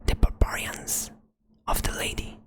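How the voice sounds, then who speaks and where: A woman whispering a fantasy adventure to the listener in the second person, close to the microphone, as ASMR narration. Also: measured pace. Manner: soft whisper throughout, second-person telling. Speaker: storyteller.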